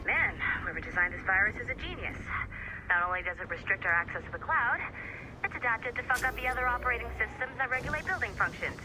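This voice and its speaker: A woman's voice: a little high, expressive, fast talker, natural voice. A woman playing a cheeky tech-security contractor in a video game, talking in a casual, wry, teasing way. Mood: sceptical, casual. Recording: clear background noise.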